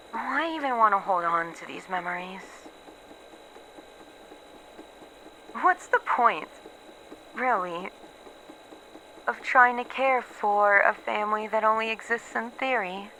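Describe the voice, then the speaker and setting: A woman playing a weary, haunted researcher who is losing her memories, in a halting, introspective horror audio roleplay monologue. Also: mid-range voice, sharp tone, a little hiss or hum, poor audio. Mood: bleak, resigned. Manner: halting, introspective, pausing between short phrases.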